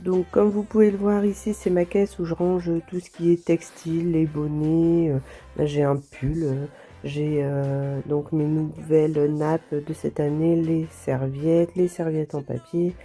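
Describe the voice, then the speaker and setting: A woman with a stuffy nose from a cold, chatting gently to viewers as she tidies her home, with soft music behind. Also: deep voice, little pitch movement, unhurried, slightly rough. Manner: gentle, chatty, apologetic asides with light laughs. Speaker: home vlogger. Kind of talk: casual vlog narration.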